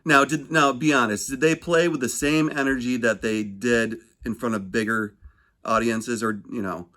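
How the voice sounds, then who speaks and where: A man's voice: mid-range voice, steady tone, moderate pace, sharp tone. A man conducting a casual phone interview, asking informal, rambling questions.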